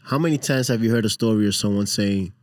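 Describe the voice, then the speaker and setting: A man's voice: lowish voice, fast talker, darkish tone, dry recording, studio quality. A man co-hosting a casual podcast, repeating his phrases for emphasis. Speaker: podcast co-host. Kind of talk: casual chat. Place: small podcast studio, indoors.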